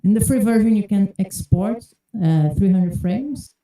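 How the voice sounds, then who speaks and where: A woman from Brazil with a Brazilian Portuguese accent, casually demoing software on a video call, chatty and full of "like" fillers. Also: mid-range voice, expressive, a little slow, dry recording, low-quality recording. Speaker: community tester.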